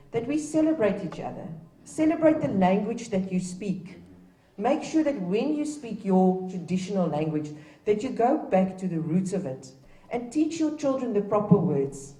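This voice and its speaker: A woman's voice: medium pitch, mellow. Woman giving an echoey speech. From Namibia. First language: Afrikaans. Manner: earnest, exhorting, with restarts. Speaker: opening speaker at a heritage event. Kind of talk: public speech. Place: indoor event at a museum. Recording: echoey room, distant mic.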